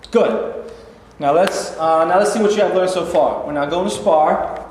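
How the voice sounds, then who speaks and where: Brief: male actor as tough but encouraging boxing coach, high male voice, halting read, echoey hall. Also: a little mellow, natural voice. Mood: instructing. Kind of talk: staged play reading. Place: mid-sized rehearsal studio, far camera. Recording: echoey hall, faint noise.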